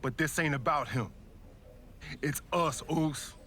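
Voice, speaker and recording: Earnest younger-brother wrestler, slangy American, unhurried, higher-set voice, video game cutscene, faint ambience. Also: male voice, bright, forceful tone, slight background noise. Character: earnest wrestler pleading with his big brother.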